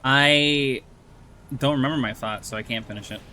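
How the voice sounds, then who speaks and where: A man's voice: mid-range voice, moderate pace, sharp tone, a little hiss or hum. A man streaming a video game with friends, chatting casually and rambling with lots of restarts and jokes. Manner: rambling, joking, with many restarts. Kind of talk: casual gaming chat.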